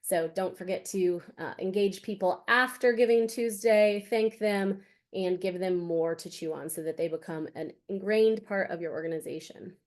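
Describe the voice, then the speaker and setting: A woman's voice: medium pitch, normal speed. A woman hosting a webinar, giving upbeat advice with ums and handing over to a guest. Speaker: webinar host. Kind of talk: webinar presentation.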